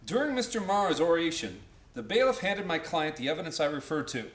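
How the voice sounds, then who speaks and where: A man as an insistent, animated defense lawyer. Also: a little high, fairly quick, roomy sound. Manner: formal, insistent. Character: confident, persistent male defense lawyer. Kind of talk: radio play courtroom acting.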